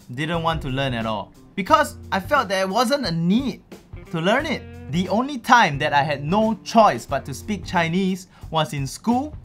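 A young man from Singapore with a Singaporean English accent, talking casually and animatedly to camera in a small room on a studio mic. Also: a little high. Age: young adult. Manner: animated, gestures a lot, chatty asides. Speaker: youtuber host. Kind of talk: casual explanatory vlog. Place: small dark room with LED lights. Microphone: studio mic, headphones, seated at desk.

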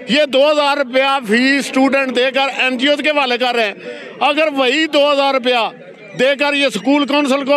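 A middle-aged man speaks with defiant passion at an outdoor protest. His voice sits very high, and it comes through a reporter's handheld mic. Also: fairly bright tone. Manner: impassioned, defiant. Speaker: teachers' union leader. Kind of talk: protest interview. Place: outdoor protest on a city street.

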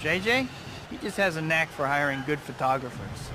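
A man acts a young superhero in a video game. His voice is boyish and on the high side, with a quippy, earnest delivery. Loud ambient noise runs behind him. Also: animated, normal speed, lightly acted. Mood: defiant quips. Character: wisecracking young male superhero, earnest and flustered.

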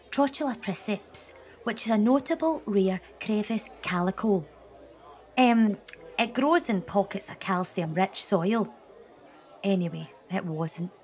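Actress as a slow-spoken young woman student. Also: mid-range voice, natural voice, rough recording. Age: young adult. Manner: halting, reflective recounting. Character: young female botany student, exasperated and exacting. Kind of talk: audio drama dialogue and monologue.

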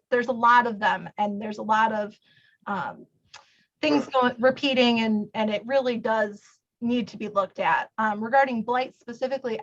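A woman's voice: a little high, fairly bright tone, poor audio. A woman giving a conversational answer as a candidate at a public forum. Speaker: city council candidate.

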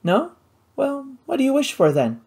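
An audiobook reader voicing a wealthy, eager, well-meaning young woman who is earnest and quick to take offence at unfairness. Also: high-pitched, huge pitch swings, creaky, a little mellow, pitched above the performer's own voice, full character voice. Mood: encouraging.

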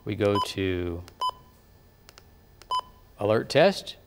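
A man working as a TV meteorologist, calmly explaining a device one step at a time. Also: fairly low pitch, very slow, big-room sound, heavy background noise. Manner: plain, patient instructive talk. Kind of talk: step-by-step demonstration. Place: TV studio weather set.

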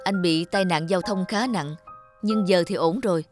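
A woman voicing a caring middle-aged male doctor, earnest and reassuring, in an animated fairy tale. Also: fairly low pitch, normal speed, over music, close to an everyday voice. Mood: concerned, reassuring.

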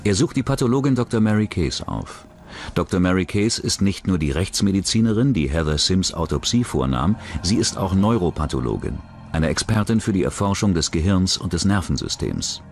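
A man's voice: deep voice, sing-song, a little fast. A man reading scripted true-crime documentary narration. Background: background music.

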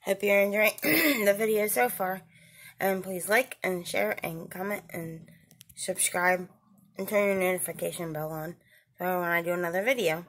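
A woman's voice: fairly low pitch, moderate pace, a bit bright. A middle-aged woman, chatting casually to her viewers from a small living room, recorded on a phone held at arm's length, friendly and repeating her requests to like and subscribe. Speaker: vlogger.

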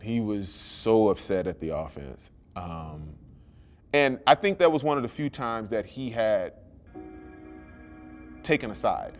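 A middle-aged man, a former football player, reminiscing casually in a seated interview. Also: fairly low pitch. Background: music.

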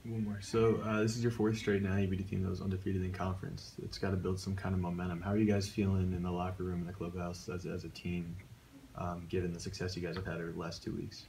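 A deep-voiced male reporter asks his questions in a flat, casual way, pausing on an occasional filler. His voice stays low and even throughout. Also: vocal fry, a little hiss or hum, rough recording. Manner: casual, a little halting. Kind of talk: asking press conference questions.

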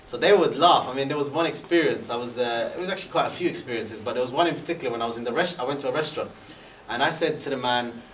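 Fast-talking young man, roomy. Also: medium pitch. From Britain. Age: young adult. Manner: rambling with many fillers and restarts, animated hand gestures. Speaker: guest speaker, university student. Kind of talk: seated talk answering questions. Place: large room with a banner, indoors. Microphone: far camera. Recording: echoey room from far off, faint hiss.

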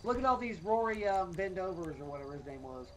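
A man's voice: high voice, very animated, quick pace, slight background noise. A man chatting casually during a gaming livestream, folksy and exclamatory, with some swearing. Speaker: gamer on a livestream.

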